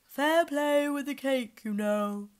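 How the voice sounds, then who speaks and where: A woman's voice: sits high, pitched above the performer's own voice. A woman voicing a lazy, sleepy male lion who drawls and yawns through his words. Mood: drowsy gruff. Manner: drawling, yawning, slow.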